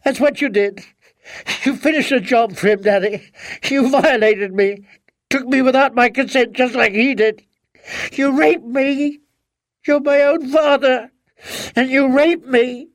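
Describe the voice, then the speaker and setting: Guy doing a raging young woman, strained accusing voice, pitched way up, gravelly edge. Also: unhurried, long pauses, dry recording. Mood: bitter, furious. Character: furious anguished young adult daughter. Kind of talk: audiobook character acting.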